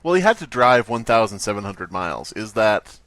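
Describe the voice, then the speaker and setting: Animated, joking man, dry close sound. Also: on the low side, fairly quick, no room sound. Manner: joking, playful, breaks into bits of song. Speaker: podcast co-host. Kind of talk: casual comic banter.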